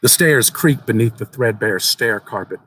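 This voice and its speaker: A man giving a measured, suspenseful dramatic reading of a horror short story. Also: medium pitch, lively intonation, fairly bright tone, slight background noise. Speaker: story reader at a live event.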